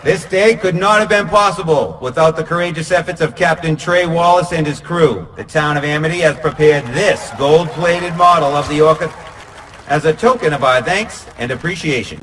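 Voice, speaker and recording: A man's voice: on the high side, normal speed, fairly bright tone, far from the mic, a little hiss or hum, natural voice. An actor playing a male town mayor who makes formal speeches to the public.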